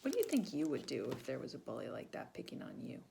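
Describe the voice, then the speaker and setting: A woman reading a children's story aloud with animated narration and small asides to young listeners. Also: very deep voice, quick pace, vocal fry, a little hiss or hum. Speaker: volunteer storybook reader.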